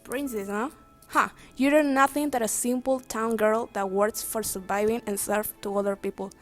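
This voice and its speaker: A woman's voice: a little high, steady tone, moderate pace, faint music behind, close to an everyday voice. A woman voicing a scornful, commanding peasant in a fairytale story. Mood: mocking.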